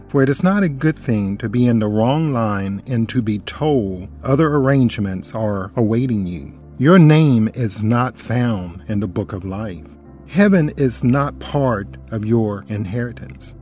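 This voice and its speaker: A man's voice: medium pitch, a little mellow. A man co-hosting a scripted radio Bible study, reading scripture in a solemn, exhorting tone. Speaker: co-host and Bible study presenter.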